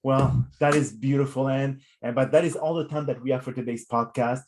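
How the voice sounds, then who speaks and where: A man hosts a podcast interview with a polite, affirming manner. He asks questions in a measured way and reads the closing words at a middle pitch. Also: steady tone, darkish tone. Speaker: podcast host.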